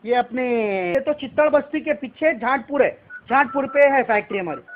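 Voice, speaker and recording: A man's voice: very high voice, moderate pace. A man with a Hyderabadi accent making a prank phone call, speaking in a cheeky, insistent, mock-earnest manner. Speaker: prank caller. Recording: muffled phone call recording.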